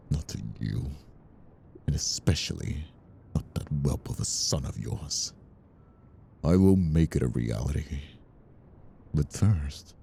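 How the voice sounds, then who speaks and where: An actor playing a menacing, condescending mobster villain in an audio roleplay, taunting with a drawl. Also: male voice, extremely low pitch, vocal fry, warm tone, slight background noise, heavily acted. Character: menacing, mocking ambitious mobster villain, adult man. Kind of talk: dramatic audio roleplay acting.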